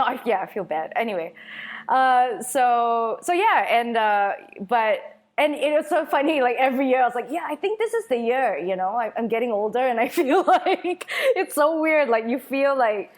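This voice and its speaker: Halting, laughing woman from Singapore. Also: on the high side. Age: 30s. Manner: rambling, halting, laughs often. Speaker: guest. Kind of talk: casual chat.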